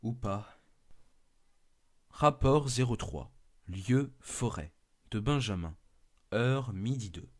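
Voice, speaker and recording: A man's voice: medium pitch, animated, slow, pauses often. A man narrating a scripted first-person horror story.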